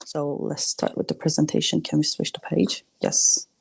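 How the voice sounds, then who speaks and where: A young woman in her twenties with a Turkish accent, giving a hesitant online presentation, full of ums, in quick bursts. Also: sits low, level tone, vocal fry, husky. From Turkey. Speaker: student presenter. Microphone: video call.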